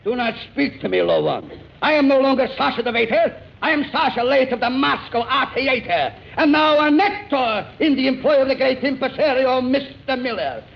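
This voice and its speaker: An actor playing a grandiose, haughty Russian waiter turned actor in a 1940s radio comedy, declaiming in a drawn-out singsong. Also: male voice, very high-pitched, expressive, vocal fry, full character voice. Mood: indignant, grandiose. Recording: dull, very noisy, echoey 1940s radio.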